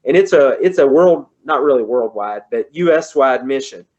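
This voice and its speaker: A man's voice: medium pitch, animated, normal speed, darkish tone, dull audio. A man in his forties with a Kentucky accent, giving a folksy, conversational presentation as a guest engineer over a video call. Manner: folksy, conversational, says y'all. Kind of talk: online presentation. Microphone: computer on a video call.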